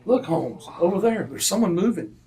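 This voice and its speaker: An actor playing a puzzled, excitable British gentleman sidekick in an old-time radio mystery, exclaiming in bewilderment. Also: sits high, moderate pace, heavy background noise. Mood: alarmed.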